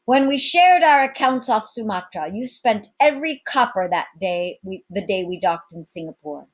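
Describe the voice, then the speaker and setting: A woman's voice: mid-range voice, lively intonation, moderate pace, pitched above the performer's own voice, natural voice. A woman reading aloud as a grandiloquent male pirate captain, commanding his crew in florid, oratorical speech. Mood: solemn, declamatory.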